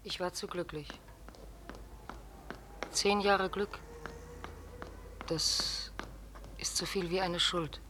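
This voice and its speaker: An actress playing a grief-stricken, self-blaming poor mother in a radio drama monologue, breathy, halting and subdued. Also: fairly low pitch, steady tone, pushed and bright, a little hiss or hum. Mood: sorrowful, self-blaming.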